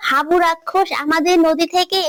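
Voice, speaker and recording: A woman voicing an urgent, excitable monkey henchman in a children's cartoon. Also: very high-pitched, moderate pace. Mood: urgent, alarmed. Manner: urgent, eager. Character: excitable tattling monkey henchman of an ogre boss. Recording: music behind the voice.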